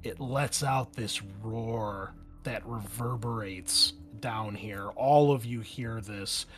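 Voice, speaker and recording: Low-voiced man running a tabletop game. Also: faint music behind. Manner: halting, self-correcting descriptions. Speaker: game master. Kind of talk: narrating a tabletop roleplaying game. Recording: clear background noise.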